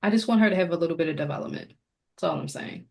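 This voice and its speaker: Lady podcaster, kinda low gravelly voice, just chatting casually. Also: rapid-fire, darkish tone, slight background noise. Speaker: podcast co-host. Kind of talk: casual chat.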